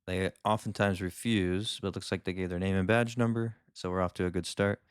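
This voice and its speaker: A man doing a calm legal commentary voice-over, explaining each point in a measured way. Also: low-pitched, smooth, dry recording, clean studio sound. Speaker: lawyer commentator.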